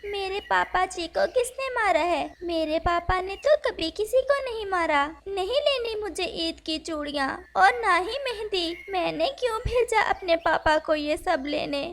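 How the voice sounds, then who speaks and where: A small, innocent pigeon daughter from a children's cartoon, acted by a woman. Her voice is extremely high and childlike. She speaks plaintively, as a child devoted to her parent. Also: expressive, measured pace, hardly any pauses, a bit bright, heavily acted. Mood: distressed. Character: innocent young daughter pigeon, upset, loving.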